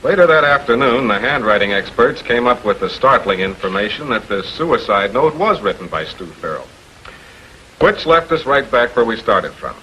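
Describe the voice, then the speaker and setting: An actor playing a cool, authoritative public prosecutor in a 1940s American TV crime drama, measured, probing and businesslike. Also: male voice, mid-range voice, animated, bright, forceful tone. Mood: narrating. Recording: dull, noisy 1940s film soundtrack.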